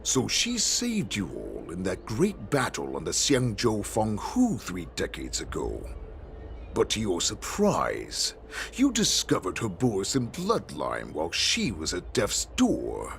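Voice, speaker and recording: An actor playing a menacing, gloating wolf-beast warlord in a video game, speaking in a slow, theatrical, taunting manner. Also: male voice, lowish voice, huge pitch swings, gravelly, fairly bright tone, slight background noise, full character voice. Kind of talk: dramatic video game acting.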